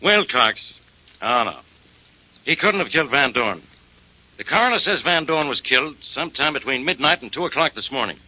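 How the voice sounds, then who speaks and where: An actor playing a blunt, exasperated police inspector in a 1940s radio drama. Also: male voice, mid-range voice, animated, moderate pace, sharp tone. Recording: dull vintage 1940s radio recording.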